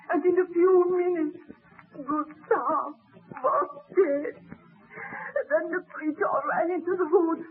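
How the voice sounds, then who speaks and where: Brief: weeping peasant widow, high and shaky voice, old radio play sound with hiss. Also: female voice, narrow, tinny audio. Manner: weeping, breathless storytelling. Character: sobbing peasant widow, frightened and grieving. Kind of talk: 1930s radio drama acting.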